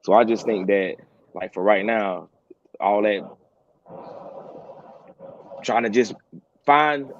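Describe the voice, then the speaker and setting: A man's voice: lowish voice, takes it slowly, pauses often. A man co-hosting a casual talk show, earnest, repeating phrases to drive a point home. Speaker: podcast co-host. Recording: dull lo-fi sound with faint hiss.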